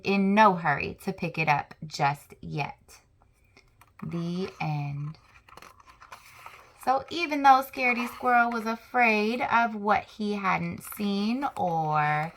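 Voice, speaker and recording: A young adult woman reading a children's picture book aloud, lively and expressive, with chatty asides to young listeners. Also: mid-range voice, slight background noise.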